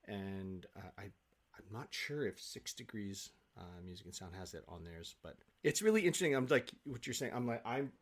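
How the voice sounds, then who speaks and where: Low-pitched man, casual and rambling. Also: expressive, normal speed. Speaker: guest, stage actor. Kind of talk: casual conversational interview. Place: home office, on a video call. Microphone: video call microphone.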